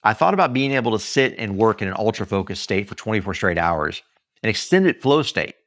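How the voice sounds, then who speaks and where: An American man from Texas reading his own memoir aloud as scripted narration, with dramatic, emphatic delivery and deliberate pauses. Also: lowish voice, expressive, a bit bright, no room sound, studio quality. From Texas, United States. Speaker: author reading own book.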